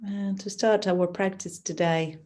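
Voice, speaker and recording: A woman teaching yoga nidra, giving gentle, soothing, unhurried guidance through a relaxation practice. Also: mid-range voice, steady tone, slight background noise. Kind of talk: guided relaxation instruction.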